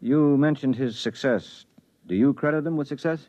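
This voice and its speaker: A man's voice: medium pitch, moderate pace, warm tone, lightly acted. A man playing a harried, married investigator, skeptical but earnest, in 1950s radio drama style. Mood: businesslike, inquiring. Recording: muffled vintage 1950s radio recording.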